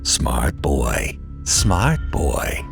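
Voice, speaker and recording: Guy doing a creepy old ferryman for a horror story, sly and drawn out, very deep, music under it. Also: sing-song, drawn-out words, gravelly, exaggerated voice. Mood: sly approval. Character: sly, grinning old ferryman, secretly inhuman.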